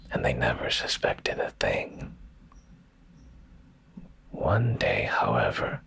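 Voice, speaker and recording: Male ASMR narrator for bedtime stories: heavy vocal fry, breathy whisper, deliberate pauses, expressive pitch movement. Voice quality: breathy, gravelly low whisper. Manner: slow, hushed, deliberate delivery with pauses.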